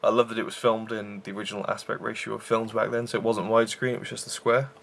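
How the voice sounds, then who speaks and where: A man's voice: on the low side, little pitch movement, quick pace, creaky, a bit bright. A man hosting a casual film review show, rambling with enthusiasm and many fillers and restarts.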